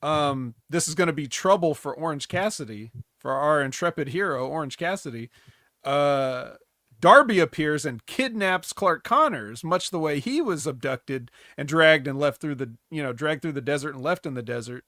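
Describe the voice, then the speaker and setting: A man's voice: a little high, lively intonation, normal speed, bright, forceful tone, no room sound, clean studio sound. A man hosting a casual podcast, recapping in a rambling, wry way full of ums. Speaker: host.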